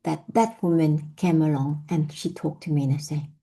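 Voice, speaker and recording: A woman's voice: sits low, fairly even intonation, normal speed, mellow, breathy edge. A middle-aged woman with a French accent, telling her personal story in a reflective way with many fillers and restarts. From France. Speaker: invited speaker. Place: online session.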